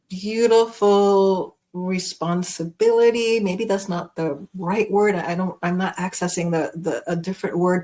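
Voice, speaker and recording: A middle-aged woman interviews in a somewhat low-pitched voice. Her phrasing is deliberate and courteous, and she searches aloud for the exact word as she builds a thoughtful question. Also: darkish tone. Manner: deliberate, searching for words, formal and gracious phrasing. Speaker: podcast host, interviewer. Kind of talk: reflective conversational interviewing.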